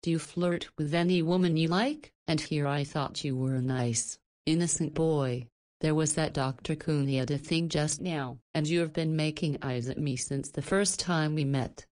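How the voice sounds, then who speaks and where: Deep-voiced, teasing, confident woman, acted by a woman. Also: normal speed, darkish tone, close to an everyday voice. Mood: playful teasing. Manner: playful, knowing, forthright. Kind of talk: visual novel voice acting.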